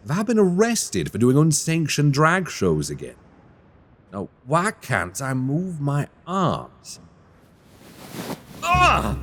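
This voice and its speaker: A man's voice: on the high side, full character voice. A man playing a cheeky, panicky young rogue in a fantasy audio roleplay, rambling in flustered, colloquial banter. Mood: groggy, confused, then panicked, accusing.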